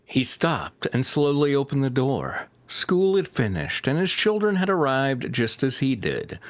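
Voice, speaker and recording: A man with a lively, swinging delivery reads fiction aloud. He sounds amused by the text and chats casually between passages, in a close, dry recording. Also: mid-range voice, measured pace, dry recording. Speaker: narrator of a fanfiction reading show. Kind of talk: comic story read-aloud with casual banter.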